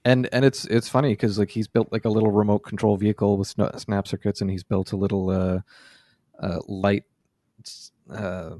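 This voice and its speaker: Hesitant low-voiced man. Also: level tone, moderate pace, mellow, no room sound. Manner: hesitant, many fillers and restarts. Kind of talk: casual chat.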